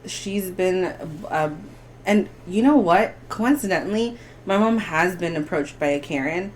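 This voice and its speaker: Bolivian woman with a Spanish accent, low. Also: unhurried, slight background noise, low-quality recording. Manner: animated storytelling, frequent restarts. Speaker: podcast guest. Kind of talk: casual chat.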